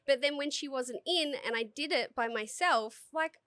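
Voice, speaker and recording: A woman's voice: high voice, normal speed, sharp tone, no echo, clean studio sound. A woman from Australia, a stage performer, chatting openly and swearing freely as a podcast guest. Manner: frank, swears freely, laughs often. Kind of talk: casual interview chat.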